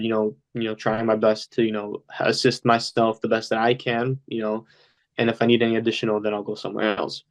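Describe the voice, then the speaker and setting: Casting note: young male guest, Polish-speaking home, loose rambling chat, lots of fillers. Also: fairly low pitch. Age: young adult. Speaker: podcast guest. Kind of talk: casual interview talk.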